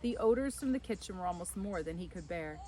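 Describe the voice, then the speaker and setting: A woman reading an audiobook in expressive storybook narration. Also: lowish voice, fairly quick. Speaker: audiobook reader.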